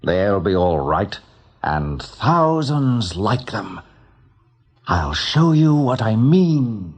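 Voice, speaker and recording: Lordly rabbit spirit, low and very husky, kindly and measured, swooping intonation, radio-play style. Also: male voice, big pitch swings, heavily acted. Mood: calm, inviting. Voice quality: deep, husky. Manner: unhurried, reassuring. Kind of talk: radio drama acting.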